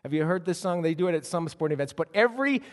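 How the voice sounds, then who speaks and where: A man preaching a sermon as pastor, telling stories in an animated way with frequent restarts. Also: fairly high pitch, very fast. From Canada. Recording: long hall reverb.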